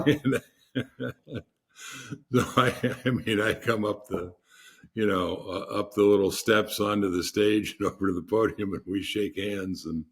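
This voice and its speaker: Deep husky-voiced man telling stories. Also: steady tone, a little slow. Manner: rambling anecdotal storyteller with frequent restarts. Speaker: podcast guest. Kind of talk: casual interview storytelling.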